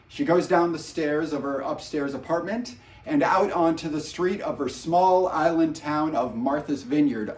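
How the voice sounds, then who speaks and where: A man's voice: on the high side, darkish tone. A man presenting a trivia question casually, with short conversational asides. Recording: roomy echo, distant from mic.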